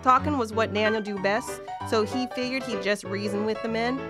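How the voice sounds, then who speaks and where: A woman's voice: on the high side, moderate pace, music in the background, natural voice. A woman playing a folksy, wry storyteller, narrating a scripted Wild West audio play.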